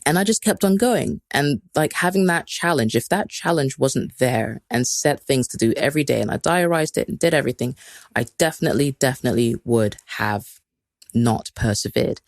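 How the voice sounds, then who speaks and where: A podcast host from Kenya who talks in loose, rambling runs full of "like" and laughs at themself. The voice sits at a middling pitch and sounds dry and close, with no room echo. The tone turns motivational between self-mocking asides. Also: lively intonation, normal speed. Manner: rambling and chatty, heavy use of "like", laughs at self. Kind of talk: casual solo live-stream talk.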